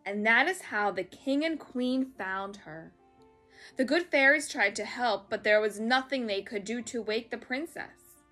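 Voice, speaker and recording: A woman reads a story for children in a high, bright voice. Her delivery is dramatic and expressive, with soft music underneath. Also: unhurried.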